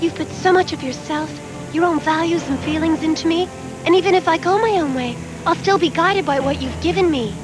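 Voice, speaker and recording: An earnest teenage cyborg girl with an extremely high voice. She is protective and defiant, in an anime dub with music behind on a worn VHS tape. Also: fairly quick. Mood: earnest, tender. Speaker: voice actor in dubbed anime.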